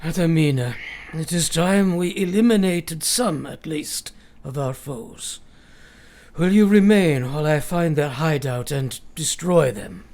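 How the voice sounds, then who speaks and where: Male actor as grave older professor, highish creaky voice, solemn old-fashioned delivery for radio drama. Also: lively intonation, measured pace, a little mellow. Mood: grave, resolute.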